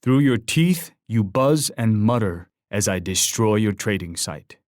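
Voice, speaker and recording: An actor playing a proud, warlike chief in a video game, speaking in solemn, formal, poetic oratory. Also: male voice, on the low side, lively intonation, a little slow, darkish tone, dry recording, studio quality. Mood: menacing, scornful. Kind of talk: scripted video game character voice lines.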